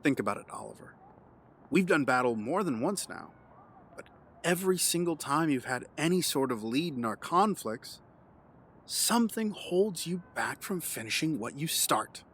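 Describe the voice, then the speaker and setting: An actor playing a calm, condescending, menacing villain mastermind in an audio drama, measured and taunting. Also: male voice, on the high side, slight background noise. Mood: condescending, accusing.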